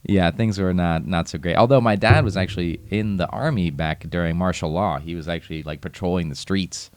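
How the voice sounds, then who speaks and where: A man, a guest chatting casually, relaxed and rambling, laughing often. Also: low-pitched, brisk, clear, resonant tone, no echo. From United States. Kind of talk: casual chat.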